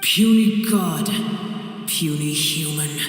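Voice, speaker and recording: A man's voice: fairly high pitch, slow, fairly bright tone. A man voicing a huge, ancient, hostile dragon in a video game, menacing and scornful, with a monster effect. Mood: scornful menace. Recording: cavernous echo and long reverb, music.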